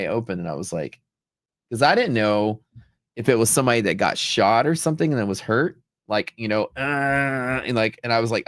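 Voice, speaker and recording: A man's voice: fairly low pitch, gravelly, no echo, clean studio sound. A man from Texas hosting a livestream, telling stories in a casual, rambling, slangy way. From Texas, United States. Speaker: livestream host, futures trader. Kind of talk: casual livestream chat, storytelling.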